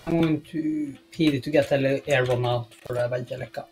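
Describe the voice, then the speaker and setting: A man's voice: medium pitch, fairly even intonation, normal speed, darkish tone, rough recording. A man talking casually in voice chat as a player in a police roleplay game.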